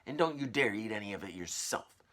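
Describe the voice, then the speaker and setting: A man's voice: fairly low pitch, animated, a little fast, bright, forceful tone. A man voicing a grumpy, greedy, disbelieving aunt from a children's storybook, blustering and scolding. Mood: greedy, bossy.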